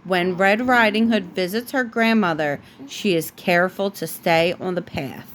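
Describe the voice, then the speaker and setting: A woman reading a children's bedtime story aloud like a parent, with expressive storytelling. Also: lowish voice, unhurried, a little hiss or hum.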